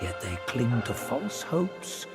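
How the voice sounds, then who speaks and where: A solemn storytelling sage for a fantasy game. He speaks slowly and thoughtfully, with lively dramatic pitch movement. Music plays clearly behind him. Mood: grave, rueful. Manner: measured, dramatic, musing. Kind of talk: scripted fantasy game dialogue.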